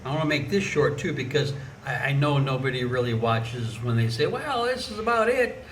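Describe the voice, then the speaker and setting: A man's voice: medium pitch, animated, moderate pace, low-quality recording. A man chatting casually in a rambling, folksy, joking way as a travel vlogger walking along. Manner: folksy, joking, informal mild swearing. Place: outdoors, walking along streets. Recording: outdoor street noise, passing vehicles.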